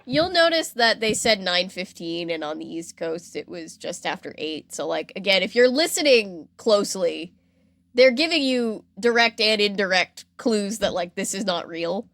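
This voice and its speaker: A woman's voice: mid-range voice, lively intonation, moderate pace, a bit bright, no room sound. A woman hosting a podcast, telling a story casually with wry, playful asides. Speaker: podcast host. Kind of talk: casual conversational storytelling with commentary.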